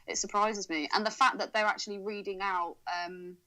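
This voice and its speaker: Female podcast co-host, medium pitch, crisp bright tone, informal teasing banter. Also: fairly even intonation, normal speed, poor audio. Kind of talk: casual film chat.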